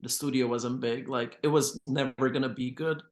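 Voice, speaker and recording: A man at a middle pitch talks supportively in a casual panel chat. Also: steady tone, normal speed.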